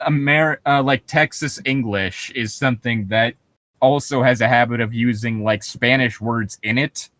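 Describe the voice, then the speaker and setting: A man co-hosting a podcast, chatting casually and reading aloud, swearing freely in a relaxed conversational way. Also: mid-range voice, moderate pace, dry recording. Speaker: podcast co-host. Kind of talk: casual banter and creepypasta reading.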